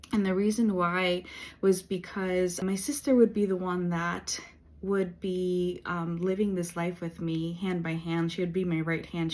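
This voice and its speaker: A woman in her late thirties talking in a personal faith vlog, earnest and reflective, with many pauses and fillers. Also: lowish voice, a little mellow. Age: 30s. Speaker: vlogger.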